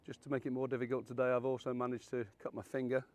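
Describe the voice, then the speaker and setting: A man around seventy, croaky and hoarse from illness, hosting a fishing channel and walking through a rig in a chatty, folksy, self-deprecating way. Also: mid-range voice, monotone, racing, darkish tone. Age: 70s. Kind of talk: casual how-to demonstration.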